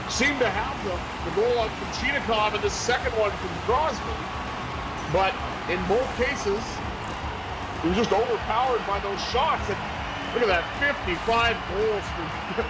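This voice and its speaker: A man calling a hockey video game play by play, getting loud and excited when a goal goes in. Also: very noisy. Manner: excitable play-by-play, jumps high on goals. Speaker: play-by-play commentator.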